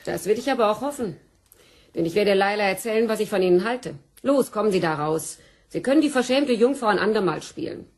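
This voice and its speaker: A woman's voice: mid-range voice, lively intonation, brisk, a little mellow. An actress playing a brash, self-assured, teasing young woman in a German crime radio drama. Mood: challenging. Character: brash, mocking, possessive young Parisian woman.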